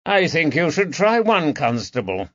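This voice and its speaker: A man voicing a brisk, sardonic gentleman surgeon in an audiobook, clipped, formal and condescending. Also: on the high side, big pitch swings, no echo, muffled recording, pitched above the performer's own voice, full character voice. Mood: mocking. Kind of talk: audiobook character dialogue.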